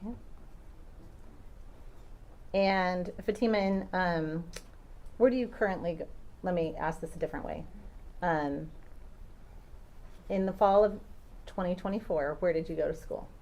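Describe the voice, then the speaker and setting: A woman attorney questions a witness in a courtroom. Her voice sits a little low, and she asks in a patient, measured way with small filler ums. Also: a little mellow, muffled recording. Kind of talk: courtroom direct examination.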